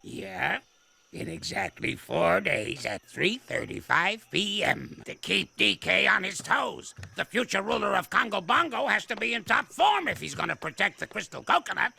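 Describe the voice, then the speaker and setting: Cranky elderly ape, cartoon, gravelly, insulting and boastful, music behind. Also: male voice, high voice, full character voice. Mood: boastful grumpy. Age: older. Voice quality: gravelly, crotchety. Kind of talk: cartoon voice acting.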